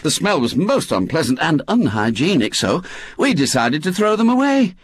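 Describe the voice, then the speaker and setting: A man voicing a brisk, mischievous older woman who feigns innocence. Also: a little high, big pitch swings, husky, dry recording. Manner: gleeful, falsely innocent, brisk.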